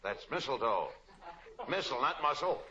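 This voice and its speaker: A man playing a gruff, by-the-book police lieutenant in an old-time radio comedy. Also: mid-range voice, very animated, normal speed, bright, forceful tone. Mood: businesslike. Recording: dull, noisy old radio recording.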